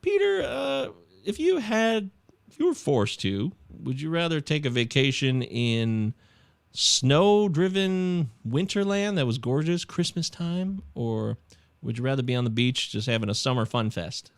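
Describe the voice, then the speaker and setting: Animated man hosting, voice swooping up. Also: on the high side, measured pace, vocal fry, smooth, dry recording. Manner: animated, excitable, laughs easily. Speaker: podcast host. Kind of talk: casual chat.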